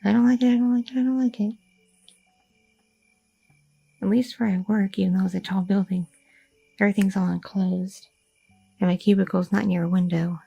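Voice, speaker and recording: A woman's voice: mid-range voice, steady tone, normal speed, creaky, pauses often, warm tone, slightly rough, soft background music, rough recording. A woman playing a chatty, curious young goth girlfriend in an intimate, casual ASMR audio roleplay. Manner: casual, rambling, teasing, slangy.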